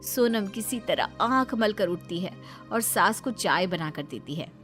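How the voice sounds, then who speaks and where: A woman narrator reading a scripted family tale in an expressive storytelling style. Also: mid-range voice, unhurried. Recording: music behind the voice.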